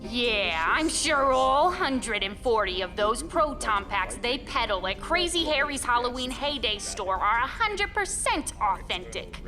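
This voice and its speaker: A woman's voice: on the high side, very animated, measured pace, hardly any pauses. A woman playing a sardonic, short-tempered studio crew member in a campy audio comedy, full of biting sarcasm. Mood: sarcastic. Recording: music bed behind voice.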